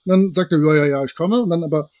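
High-voiced man chatting emphatically. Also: quick pace, background noise. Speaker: podcast co-host. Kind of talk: casual chat about audio dramas.

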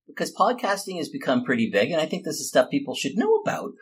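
A man with a husky voice, casually interviewing as a podcast host. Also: a little high, wildly swooping pitch, fast.

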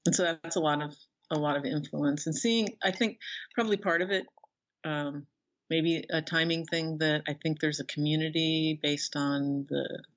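A woman's voice: low voice, steady tone, moderate pace, dull audio. A middle-aged woman speaking casually as an author being interviewed, rambling and restarting her sentences as she goes.

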